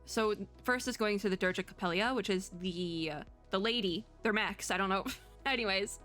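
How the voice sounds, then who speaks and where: A woman's voice: medium pitch, moderate pace, fairly bright tone. A young woman gives casual, slangy reaction commentary as a streamer, rambling and thinking aloud. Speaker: streamer reacting to game previews. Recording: music bed under the voice. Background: background music.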